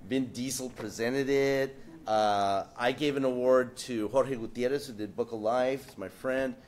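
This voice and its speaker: A man from San Diego speaking as an artist on a panel, telling animated anecdotes with frequent restarts and asides. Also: mid-range voice, measured pace. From San Diego, United States.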